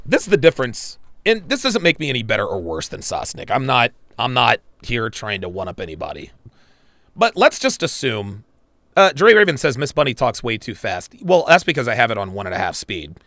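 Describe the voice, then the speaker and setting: A man's voice: mid-range voice, huge pitch swings, a little fast, fairly bright tone, no echo. A man hosting a live reaction stream, blunt and crude, swearing and talking to his chat viewers. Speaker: podcast host reacting to a clip.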